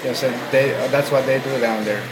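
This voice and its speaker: A man's voice: medium pitch, fairly even intonation, fairly quick, background noise. A young man from Guatemala, co-owner of a restaurant, answering questions in a casual interview, often stopping and restarting his sentences.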